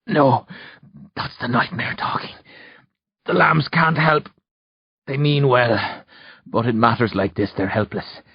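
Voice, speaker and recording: A man's voice: mid-range voice, fairly even intonation, slow pace, vocal fry, pauses often, husky, no echo, highs cut off, pitched above the performer's own voice, heavily acted. A man voicing a weary, shaken man who speaks in a brooding, earnest way. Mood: troubled, weary. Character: weary, troubled man shaken after a nightmare.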